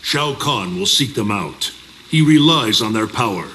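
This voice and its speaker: An actor playing a grave, formal godly protector in a video game cinematic. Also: male voice, fairly low pitch, expressive, measured pace, bright, forceful tone, a little hiss or hum.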